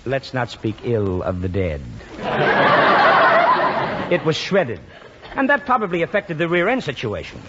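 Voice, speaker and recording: A man's voice: mid-range voice, huge pitch swings, a little slow, pauses often. A man playing a slippery, mock-sincere American garage mechanic in a vintage radio comedy. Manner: wheedling, mock-sincere, hesitating while totting up. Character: fast-talking, slippery garage mechanic, shamelessly overcharging. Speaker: actor. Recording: noisy muffled old radio broadcast, audience behind.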